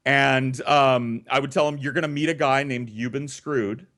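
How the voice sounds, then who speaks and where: A man's voice: medium pitch, moderate pace. Casual, profane male storyteller. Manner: profane, animated re-enacting of conversations. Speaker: storyteller and host. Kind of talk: casual comedic storytelling with acted dialogue.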